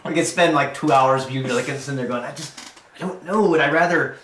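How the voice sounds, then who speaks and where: A man's voice: on the high side, fairly quick, fairly bright tone. A man on a talk show panel tossing in wry, casual quips. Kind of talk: casual joking banter. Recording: distant mic, echoey room, noisy.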